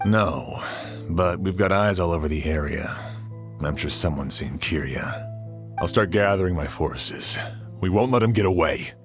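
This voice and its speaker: A determined town sheriff speaks in a firm, commanding manner with a fairly low voice. Faint game music runs behind him. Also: male voice, unhurried. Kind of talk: game cutscene voice acting.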